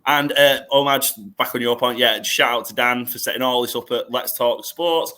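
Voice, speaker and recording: A slightly hoarse man hosting a casual podcast draft, rambling through restarts and fillers. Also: mid-range voice, moderate pace, bright, forceful tone. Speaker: podcast host.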